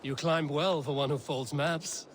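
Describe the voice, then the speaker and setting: Actor as wry Viking warrior, male, fairly high voice, dry and restrained, game drama, faint ambience. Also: steady tone, measured pace, slight background noise, close to an everyday voice. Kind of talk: video game dramatic acting.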